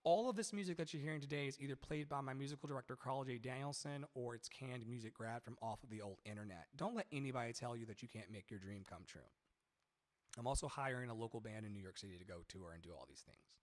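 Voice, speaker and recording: Brief: male vocal coach on a live stream, boomy baritone-tenor, loose and chatty, mid-pitched, long reverb tail on the mic. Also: fast. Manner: rambling, chatty, profane, sings snatches mid-talk. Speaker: singer and live-stream singing teacher. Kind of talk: casual live-stream talk with sung demos. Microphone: studio mic with added reverb.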